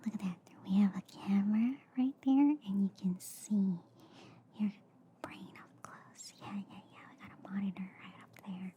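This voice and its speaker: A woman softly whispering an ASMR roleplay as a reassuring alien surgeon, breathy and hesitant, close to the microphone. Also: mid-range voice, normal speed, background noise. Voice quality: soft, breathy, mostly whispered. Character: reassuring, slightly inept alien girl surgeon. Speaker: ASMR performer playing an alien doctor.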